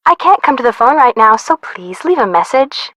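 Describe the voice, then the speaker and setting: A woman's voice: fairly high pitch, very animated, normal speed, a bit bright, no echo. A woman voicing a polite recorded answering machine greeting in a video game. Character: female voicemail greeting voice.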